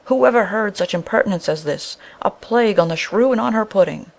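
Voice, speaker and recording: Woman playing an excitable, gravelly-edged poor woodcutter. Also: mid-range voice, brisk. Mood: angry. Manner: exclamatory, archaic phrasing. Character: simple, excitable poor woodcutter husband, hasty and blustering.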